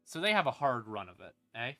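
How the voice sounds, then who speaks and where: A man's voice: mid-range voice, very animated, fairly quick, bright, forceful tone, dry recording. A man streamer explaining game lore in a casual, rambling, wry and emphatic way, with some swearing. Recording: music playing behind the voice. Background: creepy ambient music.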